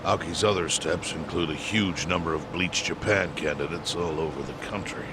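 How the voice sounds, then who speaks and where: Very deep-voiced, world-weary old chairman. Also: normal speed, background noise. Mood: grave, resigned. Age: older. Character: measured, world-weary elderly chairman, pragmatic and dry. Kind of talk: English video game voice acting.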